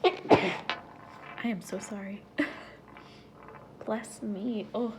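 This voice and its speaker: Stuffy-nosed woman talking very slowly. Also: mid-range voice, steady tone, noisy background. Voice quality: stuffy nasal voice. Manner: sighing, breathy, often half-whispered. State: stuffy nose, sniffling and sneezing. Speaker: vlogger. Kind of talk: casual talk to camera.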